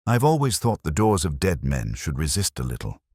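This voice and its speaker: Really deep guy narrating a moody mystery, measured and literary, dry close sound. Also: wildly swooping pitch, a little mellow, husky, dry recording. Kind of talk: first-person scripted mystery story narration.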